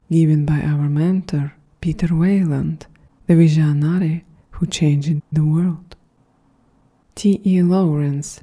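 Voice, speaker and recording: Woman as a breathy, very soft, slow android. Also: deep voice. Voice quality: breathy, half-whispered. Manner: slow, measured, solemn delivery. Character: calm, soft-spoken female android welcoming colonists, quietly grandiose. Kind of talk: soft-spoken ASMR roleplay monologue.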